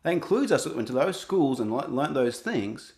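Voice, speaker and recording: A man's voice: mid-range voice, sing-song, a little mellow. A young adult man with an Australian accent, speaking earnestly in an interview, often restarting his sentences. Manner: earnest, halting, many restarts. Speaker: guest, singer-songwriter. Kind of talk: reflective interview talk.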